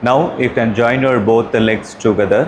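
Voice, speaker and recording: Man, Tamil Indian accent, yoga instructor, very slow pace, calm instructional phrasing, faint background noise. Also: medium pitch. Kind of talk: guided relaxation and yoga class instruction in short spaced phrases.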